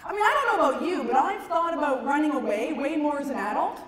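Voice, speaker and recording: A middle-aged woman giving a stand-up comedy talk to an audience, emphatic and conversational, with dramatic pauses. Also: high-pitched, lively intonation, a loud crowd. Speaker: comedian, keynote speaker. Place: large conference ballroom stage. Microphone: handheld microphone. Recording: echoey hall PA, some noise.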